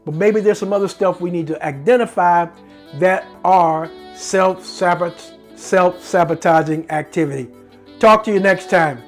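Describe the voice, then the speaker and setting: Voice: medium pitch, unhurried, soft background music. Earnest preacherly talk-show host. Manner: earnest, preacherly, repeats phrases for emphasis. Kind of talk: faith-based teaching monologue.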